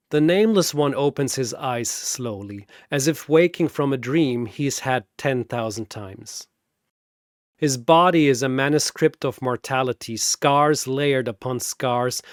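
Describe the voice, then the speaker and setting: A man narrating a scripted comic fantasy battle in a theatrical, deadpan manner. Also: mid-range voice, no echo, studio quality.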